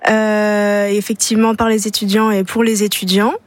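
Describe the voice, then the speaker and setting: A woman's voice: mid-range voice, little pitch movement, moderate pace, dry recording. Hesitant young woman, radio guest. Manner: hesitant, frequent filler sounds. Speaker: student charity vice-president. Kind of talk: radio interview answers.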